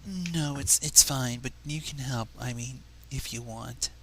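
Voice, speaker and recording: A man voicing a shy, nervous, gentle female pegasus pony in a fan fiction reading, hesitant and apologetic. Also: medium pitch, a little slow, a little hiss or hum.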